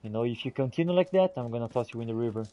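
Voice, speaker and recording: A man giving casual in-character game commentary and reading notes aloud, halting and muttering as he goes. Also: mid-range voice, expressive, mellow, muffled recording, a little hiss or hum. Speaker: gamer roleplaying and narrating a playthrough.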